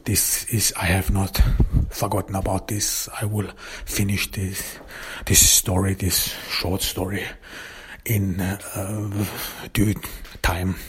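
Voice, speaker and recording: A man whose first language is German, hosting his own podcast in casual talk, halting with many fillers and restarts. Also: low voice, level tone, a bit bright, a little hiss or hum. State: recovering voice after illness. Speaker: podcast host and author.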